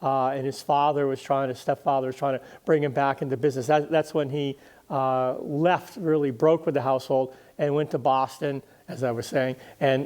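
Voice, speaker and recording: An older man speaking conversationally as a guest historian in an interview, thoughtful and halting, often doubling back on words. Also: mid-range voice, darkish tone.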